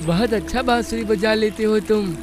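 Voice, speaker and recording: A man voicing a timid, eager young schoolboy in an animated bedtime story, full of startled questions and exclamations. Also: high voice, normal speed, over music, lightly acted. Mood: eager, hesitant.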